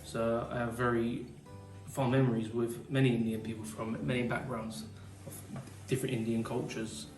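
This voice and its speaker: A man's voice: medium pitch, flat delivery, moderate pace, few pauses, a little mellow, faint music behind. A man from England giving a hesitant video testimonial, often restarting his sentences. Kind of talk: casual testimonial. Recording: echoey room, from a distance.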